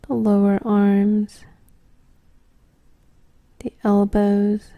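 A woman guiding a body scan meditation in a soft voice, with gentle, unhurried short phrases and a little breathiness as they end. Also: mid-range voice, monotone, no room sound, phone-quality sound. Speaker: meditation guide.

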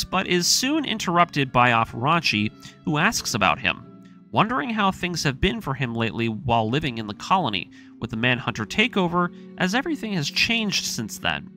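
Animated, bright-voiced man narrating a story. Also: fairly high pitch. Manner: animated storytelling in present tense. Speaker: narrator recapping an anime story. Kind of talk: scripted narration, story recap.

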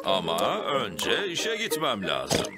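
A man's voice: lowish voice, huge pitch swings, slow pace, full character voice. A man playing a jolly, boastful, easygoing father pig in a children's cartoon. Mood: good-natured.

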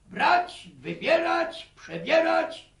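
A sly old country grandfather with a very gravelly, unhurried voice and rural Polish dialect. He teases and mocks in a folksy radio-play manner, repeating his words. The sound is roomy. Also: extremely high pitch, sing-song, a bit bright, full character voice. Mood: teasing, warning. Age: older. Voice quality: creaky. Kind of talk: radio drama acting.

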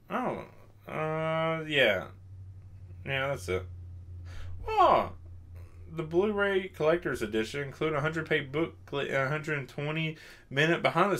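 A man's voice: on the high side, sharp tone. A young man hosting a podcast, chatting casually in a rambling, self-deprecating way while a little tipsy. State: tipsy from drinking. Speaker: podcast host.

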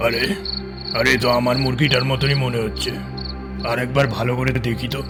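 A man voicing a suspicious village elder in an animated moral story, repeating his opening words. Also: mid-range voice, a little fast, over loud music, close to an everyday voice.

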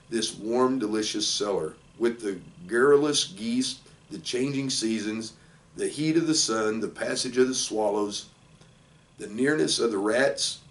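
An older man, around sixty, reading a children's storybook aloud in plain, measured short phrases. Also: medium pitch. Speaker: football coach reading a children's book aloud. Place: small indoor office. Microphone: camera a few feet away. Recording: distant microphone in a room.

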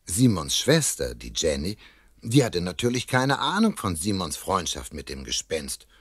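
An actor playing a chatty, confiding storyteller, narrating a children's radio play in a husky voice. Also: male voice, low-pitched, huge pitch swings, a little slow, a bit bright, no echo, clean studio sound, full character voice. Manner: conversational, confiding. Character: chatty, cosy male storyteller addressing young listeners.

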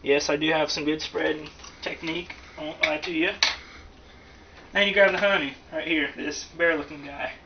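A man with a slightly high voice rambles through a casual, jokey kitchen demonstration. His delivery is folksy and slangy. The sound is dull and lo-fi, picked up from a little distance with plenty of noise around him. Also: fairly even intonation, moderate pace. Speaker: host of amateur comedic cooking show. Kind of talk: casual joking how-to demonstration. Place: home kitchen, indoors.

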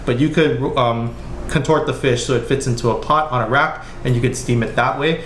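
Man, middling pitch, casual home cook, echoey kitchen. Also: steady tone, brisk, a bit bright. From Hawaii, US. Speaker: home cook demonstrating a recipe. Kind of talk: casual cooking demonstration. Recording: roomy kitchen echo with background noise.

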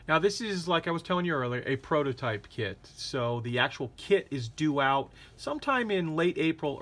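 A man hosting a casual scale-model painting tutorial, explaining each step in a relaxed way. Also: mid-range voice. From United States. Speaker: hobby shop host. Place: hobby workbench indoors.

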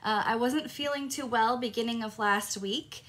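A woman in her thirties hosting a live crafting tutorial from her home craft room, upbeat and a little hoarse, greeting viewers by name. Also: fairly high pitch, moderate pace, a bit bright. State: slightly hoarse, recovering from lost voice. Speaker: crafting demonstrator and host.

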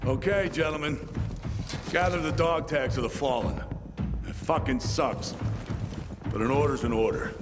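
An actor playing a gruff, sarcastic, battle-worn lieutenant in a video game, profane and commanding. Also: male voice, fairly high pitch, normal speed, long pauses, long reverb tail, music in the background, natural voice. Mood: resigned, commanding. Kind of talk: video game dramatic acting.

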